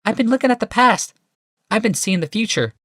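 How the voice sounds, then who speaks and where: Man putting on an animated, passionate idealistic woman. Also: high voice, fairly quick, dry recording, studio quality, pitched above the performer's own voice, heavily acted. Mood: excited, yearning. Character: passionate, idealistic woman visionary, intense and yearning.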